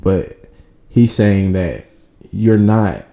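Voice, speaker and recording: A man co-hosting a podcast in relaxed, slangy casual chat, chuckling as he reads out listener comments. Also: low voice, very slow, gravelly, reverberant. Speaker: podcast co-host.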